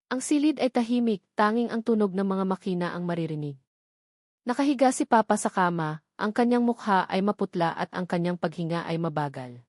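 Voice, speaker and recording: A woman with a Filipino accent reading a scripted first-person dramatic story in a solemn, measured delivery. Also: medium pitch, a little mellow, dry recording, studio quality. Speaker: audio drama narrator.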